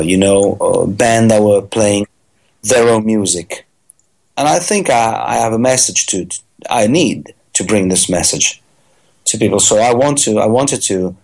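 Halting middle-aged raised man. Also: on the low side, darkish tone. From Italy. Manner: halting, many fillers and restarts. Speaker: musician guest. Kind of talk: interview answers.

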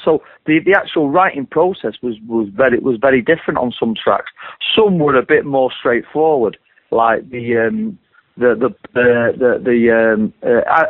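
A middle-aged man with a deep voice, a singer-songwriter rambling through an interview with many restarts and repeated words. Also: dry recording. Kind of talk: casual interview talk. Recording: dry tinny phone line.

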